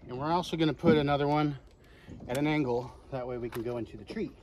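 A man's voice: medium pitch, fast. A man talking casually to the camera and to himself as he builds a camera mount outdoors on his homestead. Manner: talks to himself while working, muttering encouragement. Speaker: homesteading vlogger. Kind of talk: casual narration while building. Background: loud power tool noise.

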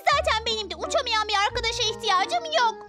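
A woman voicing a headstrong, expressive little witch girl in a children's cartoon. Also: very high voice, fast, music in the background. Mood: indignant. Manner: expressive, emphatic. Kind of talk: animated cartoon dubbing.

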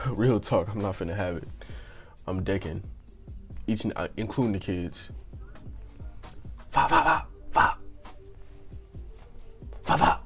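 A young man with a low voice that's slightly raspy from just waking up riffs in loose, slangy, joking commentary. He speaks into an earbud headset mic in a small room. Faint music plays underneath. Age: young adult. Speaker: reaction channel host. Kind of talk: casual reaction commentary.

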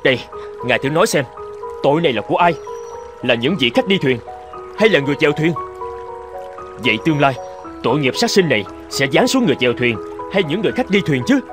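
An actor playing a self-righteous young scholar in a cartoon folk tale, pompous and argumentative. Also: male voice, on the high side, very animated, fast, pauses often, over music. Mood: indignant. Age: young adult. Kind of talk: animated folk tale voice acting.

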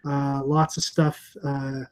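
A man in his forties hosting a casual video call chat, relaxed and chatty with many fillers, talking through earphones in a room lined with comic books. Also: medium pitch, slow, smooth. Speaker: comic shop owner and host. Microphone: earphone headset on video call.

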